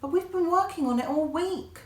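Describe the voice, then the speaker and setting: A woman voicing a warm, over-keen mum in a children's story, with an excitable manner. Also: very high voice, moderate pace, pitched above the performer's own voice. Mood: dismayed. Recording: echoey room.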